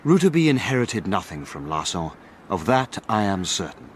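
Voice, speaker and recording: An actor playing a reflective friend who narrates the tale in a measured, literary voice in a radio drama. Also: male voice, low voice, big pitch swings, slight background noise. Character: reflective male narrator, loyal friend looking back. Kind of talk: dramatized first-person storytelling, radio drama.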